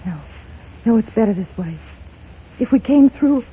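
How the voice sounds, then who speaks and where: High-voiced, yearning radio heroine. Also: female voice, animated, moderate pace, husky. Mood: tender, resigned. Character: yearning, resolute married woman in doomed romance. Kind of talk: 1930s radio drama acting. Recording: muffled 1930s radio broadcast.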